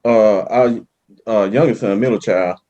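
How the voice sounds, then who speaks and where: A man's voice: on the low side, fairly even intonation, measured pace, mellow, lo-fi sound. Laid-back man hosting a podcast. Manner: laid-back, affirming, frequent "you know what I'm saying". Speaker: podcast host. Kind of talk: casual podcast interview.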